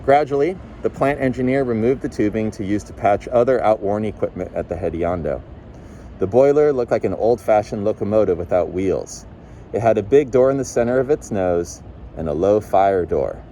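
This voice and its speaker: A man with a fairly low voice reads literary fiction aloud in an audiobook style. He is recorded outdoors, with faint street and vehicle noise behind him. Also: lively intonation, normal speed, dark tone. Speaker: volunteer reader. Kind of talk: audiobook-style reading of a novel. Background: passing vehicles, faint street ambience.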